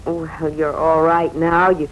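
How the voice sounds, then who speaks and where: A woman's voice: deep voice, level tone, slow pace, slight background noise, poor audio. An actress playing a principled career woman meeting an old love in a 1940s radio drama, on an old recording. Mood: sympathetic.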